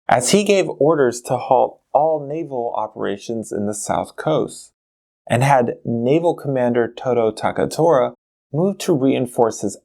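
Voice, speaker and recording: A man narrating a documentary history episode at an unhurried pace, his pitch rising and falling expressively. His voice is mellow and dark, and he talks to the viewer directly, in a conversational way.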